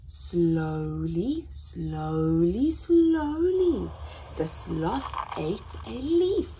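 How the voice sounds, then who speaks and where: A young woman hosting a children's story time, reading aloud in an animated, deliberate way with drawn-out emphasis. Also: on the low side, a little hiss or hum. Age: young adult. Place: small indoor room with painted backdrop.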